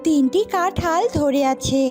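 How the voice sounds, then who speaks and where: A woman's voice: high-pitched, measured pace, over music. A woman storyteller reading scripted narration for a children's cartoon.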